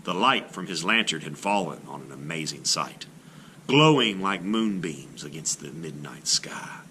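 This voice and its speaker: A man reading a children's story aloud in an expressive, theatrical style, like a teacher to a class. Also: very deep voice, unhurried, slight background noise. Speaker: art teacher reading a picture book aloud.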